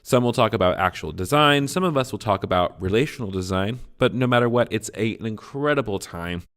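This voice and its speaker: A man with a lowish voice reads a scripted podcast intro. His delivery is friendly and upbeat, like a warm welcome. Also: lively intonation, brisk, clear, resonant tone. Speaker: show announcer.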